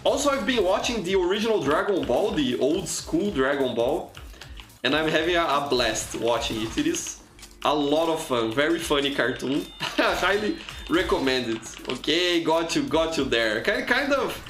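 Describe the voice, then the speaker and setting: A man's voice: a little high, sing-song, normal speed, a bit bright, echoey room, faint music behind. A man from Brazil, a native Portuguese speaker with a Brazilian accent, chatting casually while streaming a game and often restarting his words. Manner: chatty, frequent restarts and repeated words, reads chat aloud. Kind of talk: casual live gameplay commentary.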